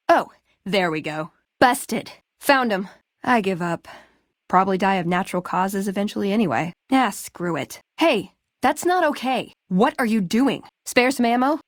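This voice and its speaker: A woman's voice: medium pitch, lively intonation, a little slow, no room sound, clean studio sound, natural voice. An American actress playing a spirited, sarcastic young woman, a scrappy scavenger and brawler companion in a video game. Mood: dry, casual. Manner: sarcastic, playful, quippy. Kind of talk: video game dialogue acting.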